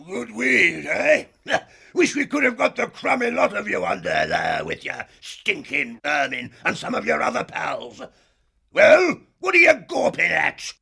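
An actor playing a blustering, defiant old male hare warrior with a gravelly, raspy voice, hurling old-fashioned insults in an audiobook drama. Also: high voice, huge pitch swings, unhurried, sharp tone, full character voice. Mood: scornful, gloating.

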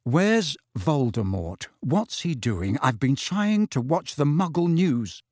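A man's voice: fairly high pitch, big pitch swings, slow pace, darkish tone, no echo, heavily acted. Storybook teen boy, male narrator: earnest, restless for answers, questioning tone, dry close mic. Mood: urgent, puzzled. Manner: eager, questioning. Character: impatient, earnest teenage boy hungry for answers.

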